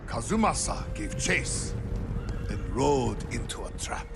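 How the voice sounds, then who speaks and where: An actor playing a bitter, defiant older islander who tells stories in a dramatic, emphatic way in a video game. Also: male voice, medium pitch, big pitch swings, takes it slowly, gravelly, music in the background, full character voice. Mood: ominous storytelling. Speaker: village storyteller.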